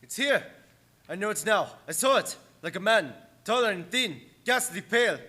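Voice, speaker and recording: A superstitious sailor, half mad with dread. The voice is high and forceful and swings wildly in pitch, agitated in an old melodramatic radio-play way. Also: male voice, lively intonation, normal speed, full character voice. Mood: obsessed, frightened. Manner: agitated, broken-English sailor talk. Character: superstitious ship's mate going mad with terror.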